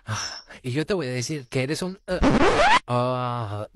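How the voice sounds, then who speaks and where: Short, smug puppet brother played by a man, fandub drama, mid-pitched and animated, sly mock politeness. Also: takes it slowly, very noisy. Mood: falsely polite. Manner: mocking, giggly, hesitant politeness. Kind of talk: amateur Spanish fandub roleplay acting. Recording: music under the voice.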